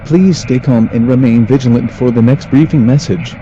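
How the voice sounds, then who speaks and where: Male emergency announcer, very mellow and dark tone, formal scripted military briefing, faint noise on the recording. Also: medium pitch, normal speed. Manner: formal, flat official delivery. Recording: muffled lo-fi audio with faint noise.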